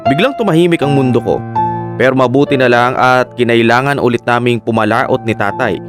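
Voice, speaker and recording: Here is a man playing a remorseful fisherman telling his story in a radio drama, quiet and reflective at a mid pitch. Clear guitar music plays behind his narration. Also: fairly quick, close to an everyday voice.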